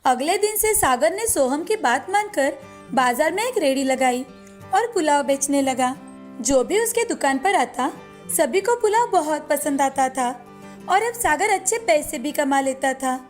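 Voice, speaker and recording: High-voiced woman narrating a cartoon. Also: a little slow, soft background music. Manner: steady storytelling. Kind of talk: scripted storybook narration.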